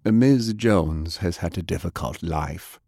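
A man voicing a gentle, courteous older gentleman. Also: deep voice, husky, no room sound, studio quality, exaggerated voice. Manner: gentle, measured. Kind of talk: audiobook character voice.

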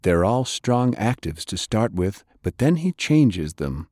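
A man acts out an easygoing magic knight in a pulp audiobook. He talks plainly and conversationally, explaining as he goes, in a low voice with a dry, close sound. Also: sing-song, takes it slowly, a little mellow, no room sound, heavily acted.

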